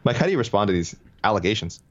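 Male podcast co-host, chatty and teasing, lower register, lo-fi sound. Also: sing-song, racing. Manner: chatty, playful, reads listener messages aloud. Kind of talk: casual chat.

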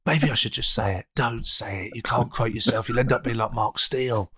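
A man, a comedian guest on a podcast, rambling and playful and quick to giggle. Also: medium pitch, fairly even intonation, very noisy. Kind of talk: comic podcast chat.

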